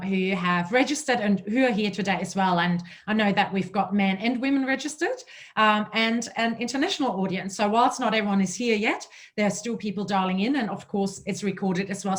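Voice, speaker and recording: A woman in her forties from Germany with a German accent, presenting a webinar as a leadership coach, enthusiastic and fluent. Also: mid-range voice.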